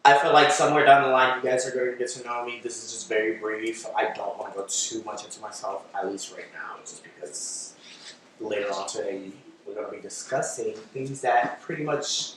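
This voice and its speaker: A man's voice: fairly low pitch, fairly even intonation, brisk. A man in his twenties from Washington DC, co-hosting a casual podcast with playful, campy banter. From Washington DC, United States. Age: 20s. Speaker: podcast co-host. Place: echoey room. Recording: very echoey room, far mic, faint noise.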